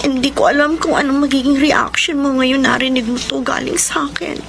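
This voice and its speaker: A woman's voice: high-pitched, level tone, a little fast, creaky, a bit bright, a little hiss or hum. A young woman playing a lovelorn, earnest older sister in a Tagalog radio romance, confessing with emotion and mixing in English. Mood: tearful confession. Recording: music under the voice.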